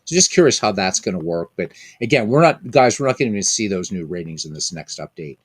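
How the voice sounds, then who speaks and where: A man's voice: sits low, animated, fast, husky, no echo. A man hosting a casual live stream of a baseball game, rambling, repeating himself and chatting with viewers by name.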